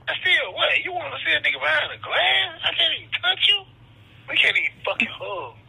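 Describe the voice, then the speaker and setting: Man on a phone line. Gravelly, very forceful, slangy and profane, emphatic casual talk. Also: extremely high pitch, expressive, fairly quick.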